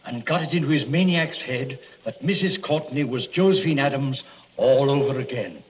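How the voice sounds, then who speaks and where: A shrewd doctor playing detective in a 1940s radio drama, voiced by a male actor. His mid-range voice is courteous but insistent, with deliberate, pointed phrasing. The sound is muffled and faintly noisy. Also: medium pitch, natural voice. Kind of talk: 1940s radio thriller acting. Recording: dull, noisy vintage radio recording.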